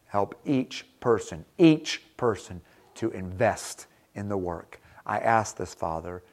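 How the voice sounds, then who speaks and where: Earnest low-voiced preacher man. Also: a little mellow. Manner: earnest, emphatic, repeats words for stress. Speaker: pastor. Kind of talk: sermon with closing prayer.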